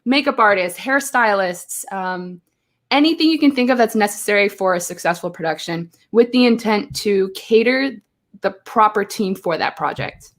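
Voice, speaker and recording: A woman's voice: mid-range voice, moderate pace. Chatty woman from Belgium. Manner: chatty, animated storytelling with acted-out quotes. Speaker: guest, choreographer. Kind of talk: casual interview chat. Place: home, live stream.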